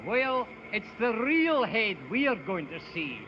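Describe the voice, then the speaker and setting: An actor in a 1930s radio drama playing a bossy, mocking henchman posing as a policeman, rough-voiced, on a muffled old broadcast. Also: high-pitched, very animated, a little slow, in a large hall, noisy background. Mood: smug, threatening.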